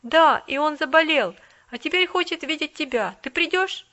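A woman's voice: sits high, animated, normal speed, bright, forceful tone, pitched above the performer's own voice. A woman reading aloud and voicing a proud poor boy who talks urgently and tries hard to be polite. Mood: earnest. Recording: dull lo-fi recording.

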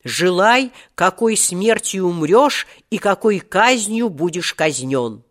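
An ancient male genie from a children's storybook, acted out by a narrator, grandiose and full of menace. He speaks in archaic, pompous phrases with a short temper, at a medium pitch. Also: animated, takes it slowly. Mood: angry, menacing.

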